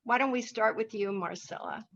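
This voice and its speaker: A woman's voice: fairly high pitch, moderate pace, gravelly, dull audio. A woman moderating a panel, politely thanking speakers and handing over questions.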